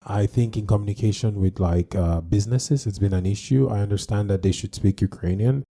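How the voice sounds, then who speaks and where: A man with a very dark, mellow voice that sits a little low. He talks casually as a podcast co-host, speaking English as his first language. He is opinionated and often stops to correct himself. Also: fairly quick. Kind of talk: casual discussion.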